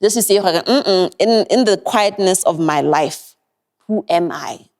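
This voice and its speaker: A woman's voice: medium pitch, measured pace, darkish tone. A young woman with an American-sounding accent, giving earnest, reflective advice as a guest on a podcast interview.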